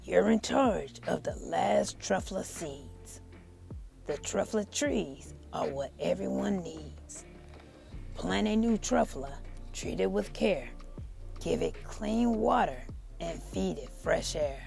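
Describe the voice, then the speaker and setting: A woman voicing a regretful, greedy old male factory owner in a children's storybook read-aloud, with creaky, dramatic, drawn-out delivery. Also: medium pitch, lively intonation, darkish tone, soft background music, exaggerated voice. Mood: earnest, urging.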